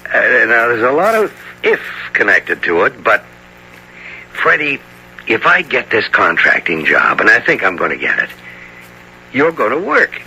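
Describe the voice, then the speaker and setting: Folksy practical father, earnest, coaxing, gravelly, very animated, old radio drama. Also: medium pitch, unhurried, full character voice. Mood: coaxing, pleased. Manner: folksy, plain-spoken, coaxing. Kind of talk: 1970s radio drama acting. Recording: faintly noisy old radio broadcast.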